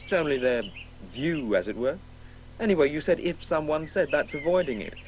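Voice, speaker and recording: A man's voice: on the high side, animated, normal speed, darkish tone, lightly acted. An actor playing a self-doubting, needling young man in a radio play, hesitant yet insistent. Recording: faint background hiss, old radio broadcast.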